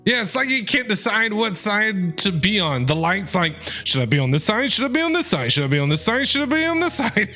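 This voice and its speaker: A man's voice: high voice, very animated, brisk, sharp tone. A man streaming a video game, chatty and reacting aloud in casual live commentary. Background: game music.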